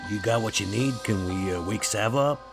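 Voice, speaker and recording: A man's voice: fairly low pitch, brisk, vocal fry, music in the background. A man voicing a goofy, bumbling, bat-like vampire in a tabletop game, talking in an informal, jokey way. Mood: sheepish.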